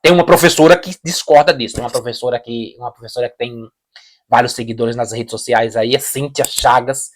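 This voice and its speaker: A man with a Brazilian Portuguese accent talks through his views on camera. His voice sits in a middle pitch, and he leans on repetition and restarts as he makes his point. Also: steady tone, fairly quick. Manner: emphatic, repeats phrases, restarts sentences. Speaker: reaction video host. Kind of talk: casual opinion commentary.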